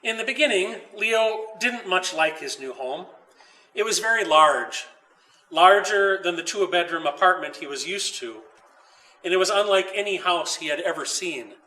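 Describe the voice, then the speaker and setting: Bright-voiced man reading a storybook, slightly distant. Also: high-pitched, lively intonation. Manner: measured, deliberate storytelling with pauses. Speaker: author reading own story. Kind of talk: children's storybook read aloud. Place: old dairy barn.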